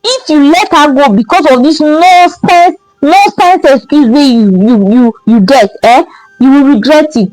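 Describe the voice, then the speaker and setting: A woman's voice: high-pitched, a little slow, darkish tone, no room sound, rough recording. A woman with a Nigerian accent voicing an exasperated young man who scolds his friend with emphatic questions and interjections in a folktale. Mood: earnest warning.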